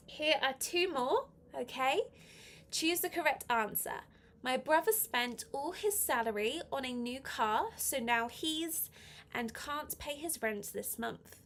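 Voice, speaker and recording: A woman teaching a live online English lesson with a slight head cold, reading exercises aloud and encouraging learners in a clear, step-by-step way. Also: sits high, a bit bright, a little hiss or hum.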